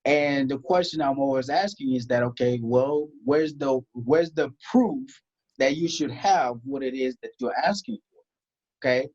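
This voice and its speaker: A man's voice: medium pitch, a little slow, a little mellow, highs cut off. Emphatic man born in South Sudan. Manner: emphatic, rhetorical questions, frequent "you know" and "right?". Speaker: guest, tax strategist. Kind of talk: conversational interview.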